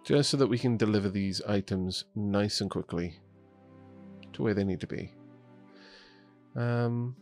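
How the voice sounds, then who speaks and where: A man's voice: on the low side, a little slow, long pauses, darkish tone, faint music behind. A young man with a Welsh accent, casually thinking aloud as he streams a building game. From Wales. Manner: chatty, thinking aloud while building, small restarts. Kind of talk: casual gameplay commentary. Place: home streaming setup in Scotland.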